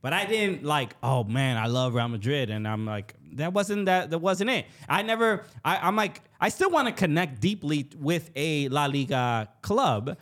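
A man co-hosting a casual soccer podcast, speaking in a halting way with many restarts and fillers. He is a Spanish speaker. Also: on the high side, lively intonation, fairly bright tone. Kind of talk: casual chat.

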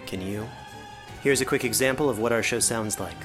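A man's voice: mid-range voice, moderate pace, music in the background. A man narrating a scripted podcast promo in a dramatic, ominous trailer style.

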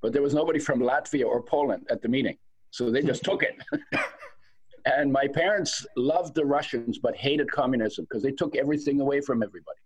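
An older man with a husky, slightly rough voice, telling his story in a relaxed, unhurried way. He is reflective and now and then lets out a dry chuckle at grim details. He speaks as a podcast guest. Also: medium pitch, poor audio. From Europe. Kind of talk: casual storytelling.

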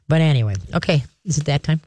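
A woman's voice: deep voice, fast talker, a little mellow, no echo. Folksy sixty-something lady radio host, rambly and chatty, dry close studio sound. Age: 60s. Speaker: pet care radio host. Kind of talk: call-in advice show.

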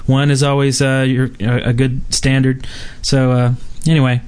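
A man hosting a casual gaming podcast. He rambles through the news, padding it with "um" and "uh" and restarting his sentences. His voice sits at a middle pitch for a man. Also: little pitch movement, normal speed, a little mellow, clean tone. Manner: rambling, many fillers and restarts. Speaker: podcast host.

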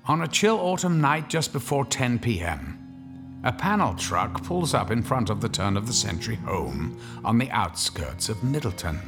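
A man narrating a horror radio drama as a suave storyteller, in a theatrical, measured way with weighty pauses. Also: mid-range voice, very animated, music in the background. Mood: dramatic, wry.

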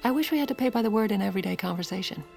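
A woman playing a sharp, resolute president's wife in an audio drama, blunt and wry in both narration and dialogue. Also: medium pitch, lively intonation, racing, vocal fry, faint music behind. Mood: wry, dry.

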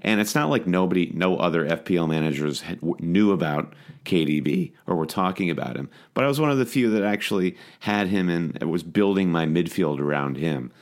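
A man co-hosting a casual podcast chat, speaking in a measured, matter-of-fact way. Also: low voice, lively intonation. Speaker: podcast co-host.

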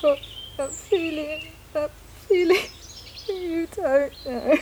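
A woman's voice: sits high, takes it slowly, a little mellow, a little hiss or hum. An actress in an audio drama playing a distraught, unravelling woman, tearful and stammering in repeated fragments. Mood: anguished, tearful. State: tearful, breaking down. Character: distraught young woman, unravelling, confused and grieving. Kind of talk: emotional audio drama acting.

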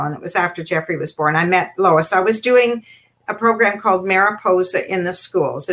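Older Canadian woman, children's performer, measured interview guest, lower voice. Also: fairly bright tone. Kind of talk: interview storytelling.

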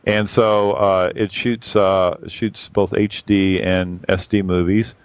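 Low-voiced man with a boomy sound. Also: little pitch movement, slow, clean tone, dry recording. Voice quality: boomy on a mic. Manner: rambling, lots of fillers and restarts. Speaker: photography podcast regular reviewing cameras. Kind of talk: casual spoken camera review.

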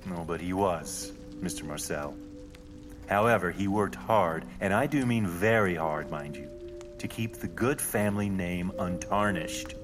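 An actor playing a haughty, secretive rich heir in an audio drama, speaking in formal, deliberate, pompous phrases. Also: male voice, low-pitched, in a large hall, over music. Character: haughty wealthy male heir, secretive and imperious.

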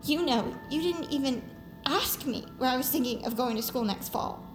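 A woman's voice: high voice, moderate pace, lightly acted. A woman playing a fed-up teenage girl who speaks her mind earnestly and emotionally in an audio drama. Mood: hurt, earnest. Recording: roomy echo with music underneath.

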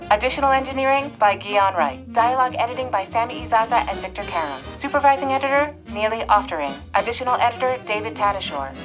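A woman reads scripted credits as an announcer. Her voice is mid-pitched and moves evenly through list after list, with clear music playing behind her. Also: poor audio.

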